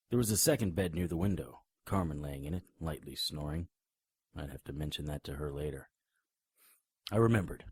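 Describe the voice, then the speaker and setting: Hard-boiled monster hunter, low male voice, brooding, world-weary, deliberate noir delivery, dry studio sound, audio drama. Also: darkish tone, slightly rough, no room sound. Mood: wry, brooding. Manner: deliberate, clipped, dramatic storytelling.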